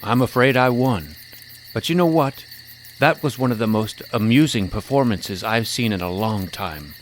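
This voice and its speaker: Man as sly jester, lowish pitch, theatrical, teasing, greedy trickster, fantasy audio drama, night insects behind. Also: moderate pace, slight background noise, lightly acted. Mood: grand, bargaining. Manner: showy, teasing, savouring words.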